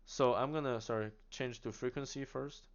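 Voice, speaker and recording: A man casually demonstrating radio gear, chatty and animated, laughing now and then as he explains. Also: mid-range voice, normal speed, dull audio. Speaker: product demonstrator. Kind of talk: casual product demo.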